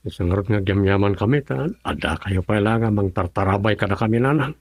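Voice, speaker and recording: An actor playing a poor, devoted husband who pleads and defends himself in an Ilocano radio drama, with a gravelly, husky voice. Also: male voice, fairly low pitch, fairly quick, a little mellow, dry recording. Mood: pleading.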